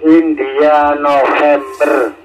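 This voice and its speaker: A man's voice: a little high, little pitch movement, very slow. A man with an Indonesian accent heard over a two-way radio, working as an amateur operator in a casual exchange of call signs. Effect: two-way radio transmission. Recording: noisy radio repeater heard through speaker.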